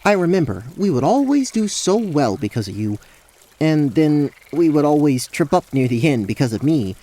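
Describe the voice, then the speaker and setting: A man's voice: fairly high pitch, very animated, normal speed, mellow. A man playing a nervous, guilt-ridden, earnest former fiancé who stammers in an acted fantasy audio drama. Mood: earnest, flustered. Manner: stammering, hesitant.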